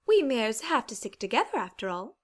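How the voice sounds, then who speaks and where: Woman doing a posh-mannered girly pony lady, high voice, genteel and caring, kinda theatrical, audiobook character. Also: sing-song, brisk. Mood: reassuring. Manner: genteel, polite, theatrical phrasing. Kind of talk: dramatized audiobook character acting.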